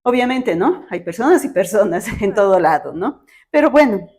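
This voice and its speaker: Una mujer ecuatoriana con acento andino conduce el podcast, haciendo preguntas de forma amable y conversacional. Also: female voice, mid-range voice, lively intonation, moderate pace, a little mellow, husky. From Ecuador. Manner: friendly, asks questions, affirming. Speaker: podcast host. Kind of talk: casual interview chat.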